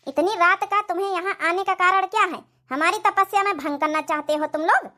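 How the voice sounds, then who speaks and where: A woman's voice: very high-pitched, brisk, pushed and bright. A woman voicing a stern, greedy female guru and sorceress, imperious and incantatory, in a village comedy cartoon. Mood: stern, demanding.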